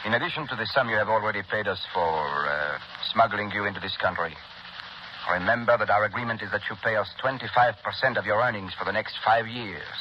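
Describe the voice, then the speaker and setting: An actor playing a cold, embittered mad scientist with pompous, precise menace, in 1930s radio style. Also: male voice, fairly low pitch, fairly quick, natural voice. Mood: bitter, menacing. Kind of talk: 1930s radio villain acting. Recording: muffled, noisy 1930s radio broadcast.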